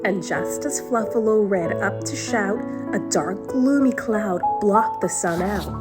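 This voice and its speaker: A woman reading a rhyming children's picture book aloud with a rhythmic, expressive delivery. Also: medium pitch, measured pace, loud music behind the voice. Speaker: storytime reader.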